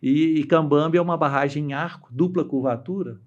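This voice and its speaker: Halting middle-aged Brazilian man telling stories. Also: fairly high pitch, darkish tone, muffled recording. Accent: Brazilian Portuguese. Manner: halting, with many restarts and fillers; acts out quoted voices while telling stories. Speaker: interview guest, dam engineer. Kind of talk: casual storytelling interview.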